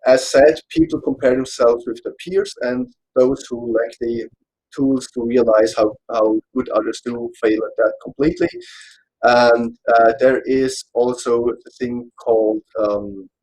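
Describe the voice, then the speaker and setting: A man from Austria with an Austrian German accent, German his first language, explaining a psychology topic in a casual online panel, pausing often to search for words. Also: mid-range voice, steady tone, a little mellow, low-quality recording. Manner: hesitant, searching for words, checks notes.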